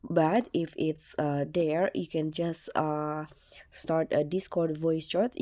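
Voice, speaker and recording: A woman with a slightly low, mellow voice guides listeners through a tech tutorial step by step. Her phrasing is casual and a little hesitant. The recording is close and dry, with very little room sound. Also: no room sound. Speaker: tutorial host.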